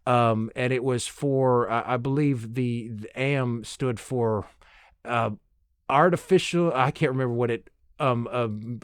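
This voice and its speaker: A man's voice: mid-range voice, clear, resonant tone, no room sound. Man rambling casually, very dry sound. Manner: halting, digressive, self-interrupting with trailing restarts. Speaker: podcast host. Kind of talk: rambling solo podcast monologue, casual.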